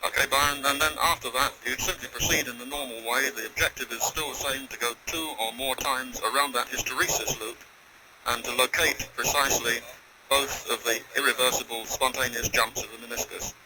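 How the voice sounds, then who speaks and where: A man's voice: medium pitch, fairly even intonation, brisk. A man speaking as a scientist on the ground, giving polite, precise technical instructions over radio. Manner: precise, polite, formal phrasing. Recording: noisy space radio link.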